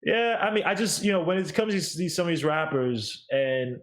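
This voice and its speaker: A man's voice: a little high, lively intonation, normal speed. A man giving music opinions in casual chat, hedging and hesitant as he talks. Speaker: music reviewer on a panel.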